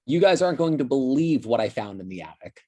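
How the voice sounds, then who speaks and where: Excited male relative acted by a man, middle pitch, eager, table-read drama. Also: fast, slightly rough. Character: excited person revealing a family secret. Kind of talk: acting at an online script table read.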